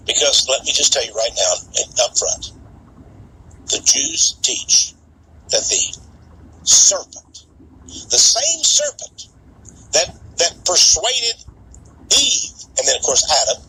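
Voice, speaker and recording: A man's voice: mid-range voice, sing-song, slow pace, long pauses, pushed and bright, a little hiss or hum, low-quality recording. A man preaching in an impassioned monologue, speaking emphatically to his listeners and repeating words for stress.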